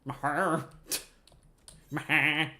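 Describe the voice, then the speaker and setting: A man's voice: fairly high pitch, level tone, drawn-out words, bright, forceful tone. A man giving casual, playful commentary with joking asides as they play a video game. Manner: playful, jokey. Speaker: gamer narrating own play.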